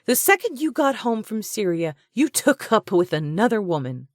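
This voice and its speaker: A woman voicing a jealous, fiery young woman who hurls sharp, scornful accusations in a raised voice. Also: sing-song, normal speed, dry recording, studio quality, close to an everyday voice. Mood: furious. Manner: sharp, accusing, high-pitched outbursts.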